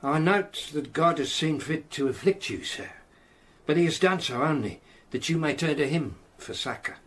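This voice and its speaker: A fervent, reproving preacher in a historical radio drama. His voice is fairly high and husky, with sweeping, animated pitch and a solemn, righteous delivery. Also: normal speed. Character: stern, zealous grey-haired Puritan preacher, fervent and reproving. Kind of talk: period radio drama acting.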